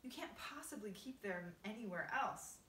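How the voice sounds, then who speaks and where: A woman's voice: mid-range voice, animated, normal speed, sharp tone, roomy sound, distant mic, slight background noise. A woman voicing a small boy in a storybook, earnest, polite, curious and full of wonder. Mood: puzzled, disbelieving.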